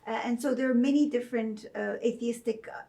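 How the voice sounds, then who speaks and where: A middle-aged woman from Saudi Arabia, a religion professor speaking as an interview guest, telling her story in a measured, thoughtful way with soft fillers. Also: fairly high pitch, fairly even intonation, gravelly.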